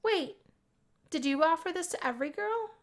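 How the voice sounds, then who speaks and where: A shy young student in a visual novel, played by a woman. Her voice is very high, and she speaks in a hesitant, self-conscious way. She comes across as bookish and reserved. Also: animated, moderate pace. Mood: hesitant, embarrassed. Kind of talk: visual novel character voicing.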